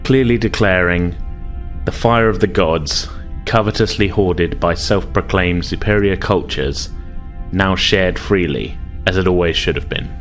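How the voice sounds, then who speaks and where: Casting: male game master, low voice, casual halting explanations, music underneath. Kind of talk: narrating a tabletop role-playing game.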